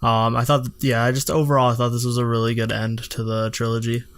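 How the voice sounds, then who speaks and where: A man co-hosting a casual music review podcast, giving calm, measured comments. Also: mid-range voice, clear, resonant tone, no echo. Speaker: podcast co-host.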